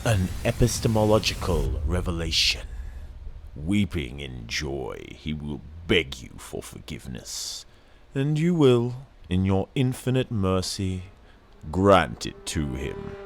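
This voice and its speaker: A man reading a dramatic second-person story excerpt in a grave, theatrical, deliberate manner. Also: low voice, slight background noise. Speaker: narrator reading a novel excerpt. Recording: dramatic music under the voice. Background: cinematic soundtrack music.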